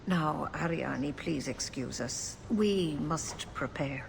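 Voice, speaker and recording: An actress playing a grave elven mystic elder in a video game, speaking in a measured, solemn, explanatory way. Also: female voice, deep voice, creaky, slight background noise, full character voice. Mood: grave, secretive. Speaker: magic teacher and ritual leader. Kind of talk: video game dialogue acting.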